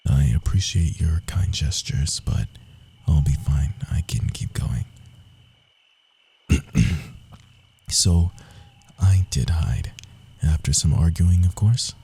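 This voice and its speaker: A man playing a proud, wounded young rogue assassin in an intimate ASMR roleplay, speaking in a hushed, confiding way with dramatic pauses. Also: extremely low pitch, exaggerated voice. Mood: pained, remembering. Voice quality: husky, breathy, often dropping to a whisper.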